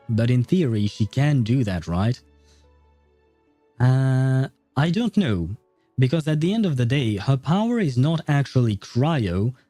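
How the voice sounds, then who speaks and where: A man's voice: medium pitch, dark tone. A man rambling casually on a game livestream, thinking aloud as he answers his viewers.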